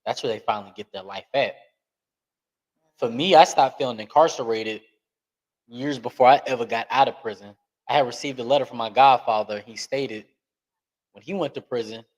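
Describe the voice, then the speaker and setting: A man talks candidly as a guest in a podcast interview. His voice sits in a middle pitch, and he presses his points hard, stretching some words slowly and rushing others. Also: low-quality recording. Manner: earnest, emphatic, speeds up and slows down for stress.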